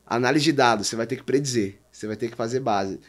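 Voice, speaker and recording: Male podcast guest, Brazilian accent, average pitch, rapid informal delivery in a casual business discussion.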